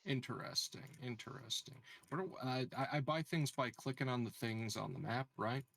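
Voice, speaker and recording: A man playing an online tabletop game, chatting casually in a halting, questioning way. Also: mid-range voice, creaky, fairly bright tone.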